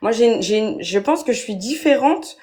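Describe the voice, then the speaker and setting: A woman's voice: a little high, expressive, moderate pace, a little mellow, breathy edge. A young woman from France, calling into a live stream and arguing her view with many restarts and fillers. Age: young adult. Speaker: guest caller. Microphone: phone app call.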